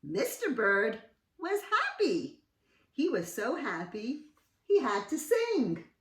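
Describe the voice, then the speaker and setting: A woman reading a storybook aloud to children, expressive and sing-song, with questioning asides. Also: a little high, takes it slowly, recorded from a distance. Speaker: children's read-aloud host. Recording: roomy, set back from mic.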